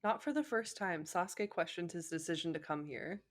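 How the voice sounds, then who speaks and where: A woman's voice: fairly low pitch, normal speed. Giggly woman reading aloud casually. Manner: giggly, easily cracked up, stumbles over lines. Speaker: co-host reading fan fiction aloud. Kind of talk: casual comedic read-aloud with commentary.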